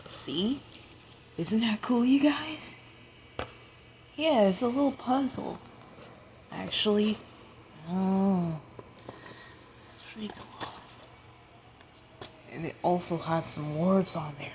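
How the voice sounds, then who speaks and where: A woman's voice: mid-range voice, slow pace, long pauses, a little mellow. Woman, casual tutorial host, mid-range voice, half-whispered asides; noisy recording. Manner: chatty, often drops to a whisper. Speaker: app tutorial maker. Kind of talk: casual tutorial chat to viewers.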